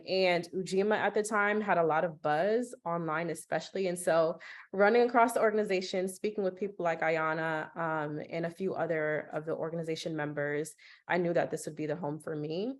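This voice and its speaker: A young adult woman, a doctoral student, speaking as a panelist in an online discussion with an excited, upbeat manner. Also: on the low side. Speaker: panelist, research lead.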